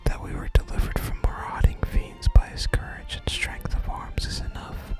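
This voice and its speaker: A gaming ASMR creator speaking in a soft breathy whisper, gently and deliberately reading game dialogue and adding casual commentary. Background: game music.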